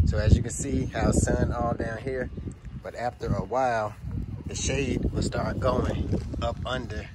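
A man's voice: mid-range voice, very noisy. A middle-aged man, walking through his backyard and explaining his chicken care step by step, recorded on a handheld phone. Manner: plain, friendly, step-by-step explaining to viewers. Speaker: homesteading vlogger. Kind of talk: casual walkthrough demonstration. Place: outdoors in a backyard garden. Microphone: handheld phone camera.

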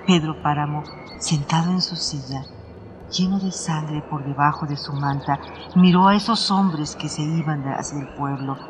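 A man's voice: on the high side, noisy background. A man reading scripted, literary narration for a radio drama. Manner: literary storytelling. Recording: music under the narration.

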